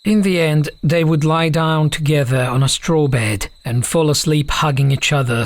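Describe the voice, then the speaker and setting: A man reading a scripted fantasy story as an audiobook narrator. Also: medium pitch.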